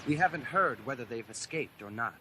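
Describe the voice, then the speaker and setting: A man's voice: mid-range voice, animated, a little fast, bright, forceful tone, slight background noise. A man playing a confident rebel officer giving formal reports in a space adventure audio drama.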